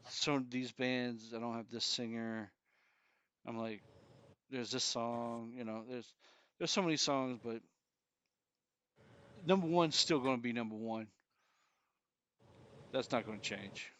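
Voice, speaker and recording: A man's voice: mid-range voice, unhurried, long pauses, fairly bright tone. A man in his forties co-hosting a podcast, chatting casually with a joking, profane manner. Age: 40s. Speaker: podcast co-host. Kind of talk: casual chat.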